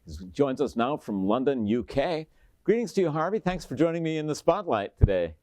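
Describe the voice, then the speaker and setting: Male show host, mid pitch, lively intonation, introductions and questions, dry sound. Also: a little fast, no echo. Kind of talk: hosted interview, introduction and questions.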